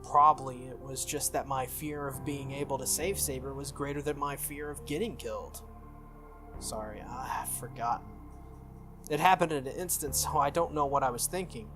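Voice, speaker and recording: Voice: medium pitch, moderate pace, over music, natural voice. A game player voicing an earnest, stubborn, easily flustered teenage boy from a visual novel, hesitant and defensive.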